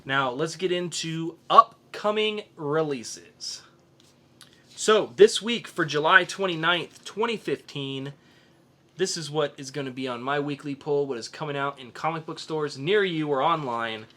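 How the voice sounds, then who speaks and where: A man's voice: a little high, measured pace, sharp tone. A man hosting a podcast, chatting casually about comics and films. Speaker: podcast host. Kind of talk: casual comics and film review chat.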